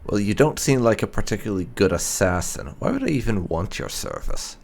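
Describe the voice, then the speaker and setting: A man's voice: fairly low pitch, big pitch swings, brisk, gravelly, a little mellow. A man role-playing aloud as he plays a video game, improvising dry, sceptical replies with muttered hums. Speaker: gaming channel player role-playing aloud. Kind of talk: improvised in-character game roleplay commentary.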